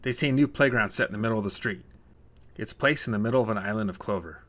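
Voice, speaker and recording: A man's voice: fairly low pitch, fast talker, fairly bright tone. A man narrating a scripted short story as a storyteller.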